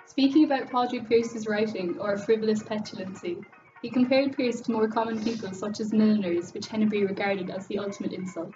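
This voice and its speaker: A young woman with an Irish accent reads an educational script quickly. Her voice is somewhat muffled and set back from the mic, with faint music behind it. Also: medium pitch, steady tone, echoey room, distant mic. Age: young adult. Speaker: student presenter.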